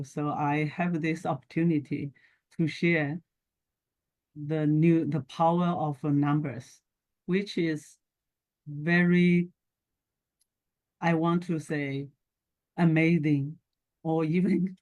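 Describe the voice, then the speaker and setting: A middle-aged woman from China with a Chinese accent, speaking haltingly in short phrases as a guest in an interview. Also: low voice, a little mellow. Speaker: guest, scientist and entrepreneur. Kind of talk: talk show interview, telling personal background.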